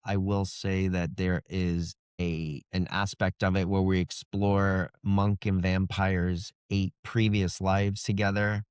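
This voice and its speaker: A man's voice: sits low, level tone, slow, few pauses, smooth, dry recording, studio quality. A man speaking conversationally as an author in an interview, explaining how he writes. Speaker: author being interviewed about his book.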